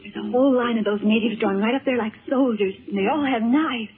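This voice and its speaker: An actress playing a frightened, excitable young daughter in a dramatic 1930s radio serial. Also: female voice, fairly high pitch, a little fast, natural voice. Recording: tinny, phone-like 1930s radio recording.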